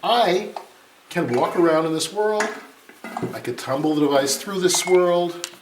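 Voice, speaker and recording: A man's voice: high voice, lively intonation, a little slow. A man giving an informal live product demonstration, exclaiming and drifting into distracted asides. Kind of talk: informal live tech demo. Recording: echoey, noisy room, distant mic, clattering.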